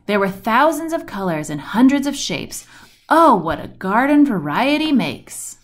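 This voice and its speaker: Woman reading slowly with very animated pitch. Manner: deliberate, dramatic storytelling delivery with emphasis on rhymes and action words. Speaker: storybook reader. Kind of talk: expressive read-aloud of a rhyming children's picture book.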